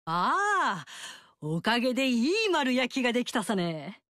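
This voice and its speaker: A woman's voice: on the high side, very animated, measured pace, a bit bright, no echo. An actress voicing a brash, motherly fire-goddess warrior woman in a studio game scene, talking with bold, teasing, big-sister swagger. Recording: dry studio recording.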